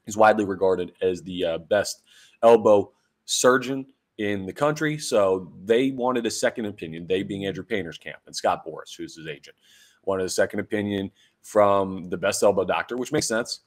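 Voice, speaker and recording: A young man hosting a solo sports podcast, his voice hoarse and deeper after a night of drinking, chatting sarcastically with rambling fillers. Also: normal speed. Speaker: podcast host. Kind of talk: casual solo sports talk.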